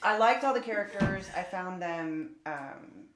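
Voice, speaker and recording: A woman who acts, chatting casually at a roundtable in a blunt, animated way, acting out her own reactions. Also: fairly low pitch, measured pace, over music. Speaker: voice actress on a podcast panel. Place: room, group around a table. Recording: roomy raw recording, other voices around.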